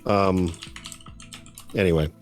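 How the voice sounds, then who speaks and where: A man speaking as a guest in a casual live-stream chat, thoughtful and easygoing, pausing to search for his words. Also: low voice, steady tone, faint music behind. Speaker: podcast guest.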